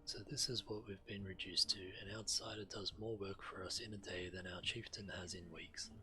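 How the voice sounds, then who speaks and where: Man as hostile walrus-folk game guard, low forceful voice, curt, scornful, suspicious. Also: steady tone, normal speed. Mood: grudging, scornful. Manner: curt, challenging. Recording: clear background game noise.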